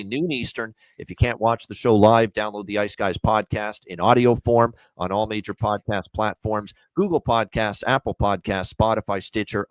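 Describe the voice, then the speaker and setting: Low-voiced man hosting a betting show. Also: dry recording, rough recording. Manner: chatty, upbeat show plugs.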